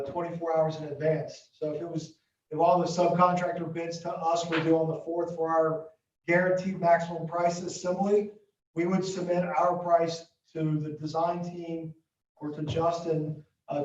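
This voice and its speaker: A man from Ohio representing a construction firm, calmly answering questions at a school board meeting. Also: a little high, moderate pace. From Ohio, USA. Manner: steady, businesslike explaining. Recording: muffled distant audio in an echoey room.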